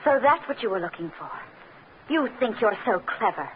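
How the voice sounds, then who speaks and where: Actress as a defiant secretary, very animated pitch. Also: female voice, moderate pace, close to an everyday voice. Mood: angry defiance. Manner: sharp, indignant. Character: defiant, cornered woman office secretary. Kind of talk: old-time radio melodrama acting. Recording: noisy, tinny vintage radio recording.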